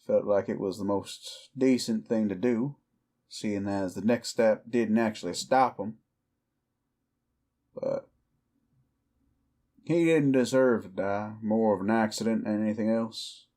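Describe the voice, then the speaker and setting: An actor playing a weary, defiant cowboy outlaw werewolf in an audio roleplay, with a folksy, brooding American Southern or Western drawl. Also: male voice, lowish voice, unhurried, pauses often, dark tone, lightly acted. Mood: matter-of-fact, reflective. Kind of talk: slow dramatic roleplay monologue to the listener.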